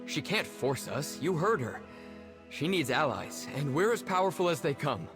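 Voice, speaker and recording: A man's voice: fairly high pitch, sing-song, moderate pace, over music. A young man playing a cocky, adventurous twin brother in a fantasy video game, breezy and reassuring in manner. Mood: breezy, confident. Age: young adult.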